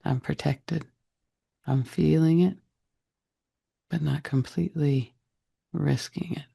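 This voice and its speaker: A man leading a guided meditation in a soft, breathy, husky voice, speaking deliberately in short phrases with long pauses. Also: a little high, fairly even intonation. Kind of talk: guided meditation, slow soothing narration.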